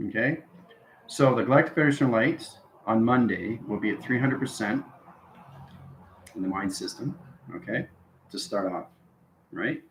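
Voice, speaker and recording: A man's voice: fairly low pitch, slight background noise. A man giving an improvised esoteric lecture to viewers, instructing repetitively and often checking in with short tags like okay and right. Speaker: spiritual channel host giving instruction. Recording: roomy, echoing sound.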